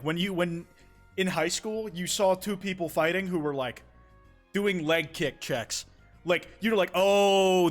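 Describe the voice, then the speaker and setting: Young male streamer, a touch high-pitched, loose rambling delivery full of profanity; faint music under him. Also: fairly bright tone. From United States. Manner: rambling, profane, many restarts. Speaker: streamer reading viewer confessions. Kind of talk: casual live-stream chat.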